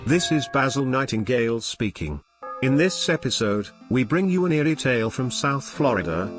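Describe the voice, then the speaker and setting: A man with a mid-pitched voice introduces a radio-style drama as its announcer. His delivery is scripted, measured and theatrical, with music clearly playing underneath. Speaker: announcer and narrator. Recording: music behind the voice.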